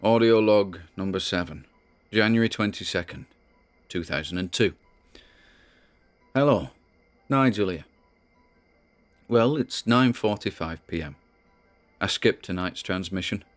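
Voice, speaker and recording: An actor playing a spooked man who rambles haltingly and nervously as he records private audio logs in an audio drama. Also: fairly low pitch, soft background music, close to an everyday voice. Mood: uneasy, weary. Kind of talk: dramatic audio-log monologue.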